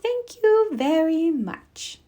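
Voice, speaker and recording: Woman as a sweet, high-voiced tooth fairy. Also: big pitch swings, drawn-out words, exaggerated voice. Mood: gentle, grateful. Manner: soft, sweet, polite. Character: gentle, kindly tiny tooth fairy.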